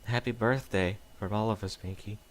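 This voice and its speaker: A man voicing a tearful, sniffling female pony in his own low voice. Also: steady tone, moderate pace, close to an everyday voice.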